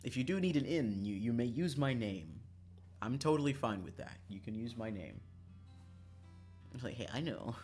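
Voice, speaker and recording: Streamer voice, mid pitch, super animated, jokey n sweary, dramatic, music playing behind. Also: normal speed, long pauses. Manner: joking, self-mocking, dramatic, sweary. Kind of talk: casual livestream chat.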